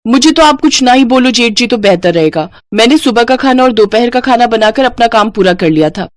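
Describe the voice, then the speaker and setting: A woman's voice: fairly high pitch, animated, fairly quick, dry recording, clean studio sound, lightly acted. A woman voicing a lazy, pampered younger sister-in-law, whiny, cheeky and defiant, in a family moral story. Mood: cheeky, defensive.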